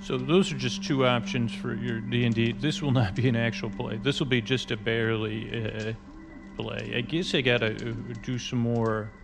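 A man with a gravelly edge to his mid-pitched voice hosts a rambling bedtime podcast. He talks in loops, hesitant and unscripted, often trailing off and starting over. Prominent music plays underneath him. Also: flat delivery, normal speed. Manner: hesitant, meandering, repeats and trails off. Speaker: podcast host.

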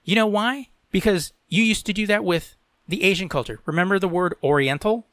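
Forceful, bright-voiced podcast host man. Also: high-pitched, a little fast, dry recording, clean studio sound. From Latin America. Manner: opinionated, peppered with "you know". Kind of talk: opinionated chat and news read-aloud.

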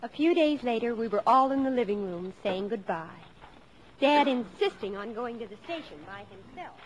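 Woman playing a high-voiced, earnest teenage daughter. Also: lively intonation, moderate pace, a little hiss or hum, close to an everyday voice, poor audio. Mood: wistful, narrating. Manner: sincere, wistful. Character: earnest, devoted teenage eldest daughter who narrates. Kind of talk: 1950s radio drama acting and narration.

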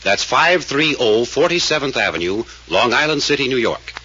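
A man delivers a scripted appeal in the manner of 1940s radio, forceful and lively in pitch. The old recording is dull, with faint noise. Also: normal speed, husky. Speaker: radio actor making a charity appeal.

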